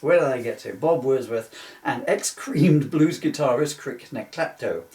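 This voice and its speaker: A man's voice: medium pitch, a little slow, warm tone. A man reading from his own book in an informal way, with jokey, self-mocking, rambling asides. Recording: echoey room, distant mic.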